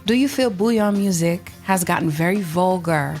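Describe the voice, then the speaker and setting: A woman's voice: lowish voice, normal speed, darkish tone, faint music behind. A woman hosting an interview, asking questions casually and laughing often. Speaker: interview host.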